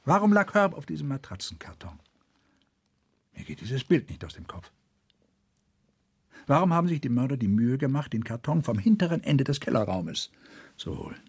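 A man's voice: medium pitch, sing-song, moderate pace, long pauses. An actor playing a troubled, sleepless male murder investigator who broods and thinks aloud in a radio drama. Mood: brooding, weary. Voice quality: husky.

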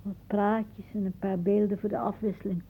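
Musing old woman, wry, theatrical, husky, radio drama, muffled narrow-band recording. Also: medium pitch, level tone, normal speed. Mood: wistful, musing. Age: older. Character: wry, imaginative old aunt musing in her garden. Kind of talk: Dutch radio play acting.

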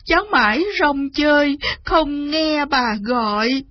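A woman's voice: fairly high pitch, lively intonation, slow pace, highs cut off. A woman voicing a young boy in a children's tale, pleading and tearful with remorse. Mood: sobbing remorse.

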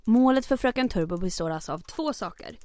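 An actress playing a mayor's aide in the resistance, businesslike but hesitant and scheming. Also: female voice, medium pitch, lively intonation, darkish tone, dry recording, lightly acted. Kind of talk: audio drama acting.

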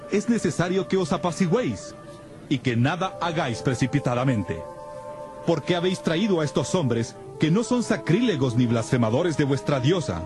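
A firm, official town clerk, addresses a mob in a fairly high voice. He declaims and reasons in audio Bible drama style, over clear music. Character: authoritative town clerk calming an unruly mob.

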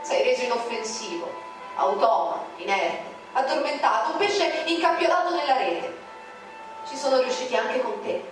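A woman's voice: a little high, expressive, moderate pace. A woman narrator reciting a poem in a declamatory, indignant manner. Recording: very echoey, distant, music behind.

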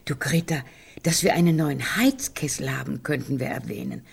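An actress playing a fretful, defensive elderly woman in a German radio drama, plain-spoken and homely, with a breathy, husky voice. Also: extremely low pitch, moderate pace, heavily acted. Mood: worried. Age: older.

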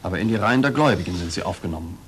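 Earnest young scholar, noisy old radio. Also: male voice, low voice, expressive, very fast, a bit bright. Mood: probing. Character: earnest younger male scholar, former pupil, eager. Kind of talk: 1940s German radio drama acting. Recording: noisy 1940s radio recording.

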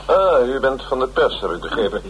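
An actor playing a pompous, self-pitying older theatre director in a radio drama, speechifying. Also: male voice, medium pitch, sing-song, brisk, fairly bright tone, a little hiss or hum, low-quality recording. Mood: bitter, wounded.

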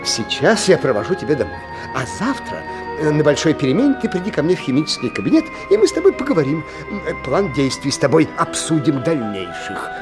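An actor playing a fussy, absent-minded chemistry teacher in a radio play, muttering, stammering and repeating himself in a husky voice. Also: male voice, on the high side, huge pitch swings, fast, over music. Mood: urgent, secretive.

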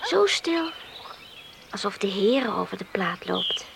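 An actress playing a dreamy, devout young island woman in a radio play, wistful and often hushed. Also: mid-range voice, sing-song, takes it slowly, creaky, noisy background, full character voice. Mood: dreamy, reverent. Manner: hushed, wistful, often dropping to near whisper. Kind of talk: Dutch radio play acting.

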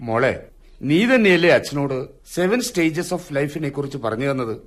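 A man's voice: on the high side, lively intonation, measured pace. A man with a Malayali accent acting an affectionate, philosophical middle-aged father, tender and theatrical, in a radio drama. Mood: teasing, fond.

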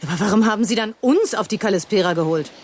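A woman's voice: mid-range voice, fast talker, slight background noise, natural voice. An actress playing a serious, idealistic female guerrilla held captive in a radio play, speaking tersely. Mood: bitter, tense.

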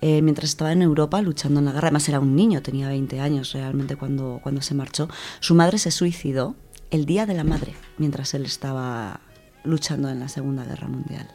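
A woman's voice: very deep voice, fairly even intonation, fairly quick, mellow, soft background music. A woman with an accent from Spain gives an informal radio talk in a conversational way, repeating words as she goes. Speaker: radio book-segment contributor. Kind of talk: informal spoken presentation.

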